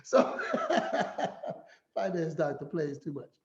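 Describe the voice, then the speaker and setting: A man giving a finance talk as an animated, playful storyteller who acts out quotes. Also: fairly high pitch, slow pace. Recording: echoey room, slightly dull sound.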